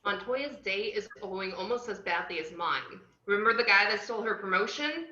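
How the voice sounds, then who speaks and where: A woman's voice: medium pitch, animated, moderate pace, sharp tone, a little hiss or hum. A woman playing a wry, cheeky antiheroine narrating a script at an amateur table read. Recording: roomy, slightly dull video-call audio.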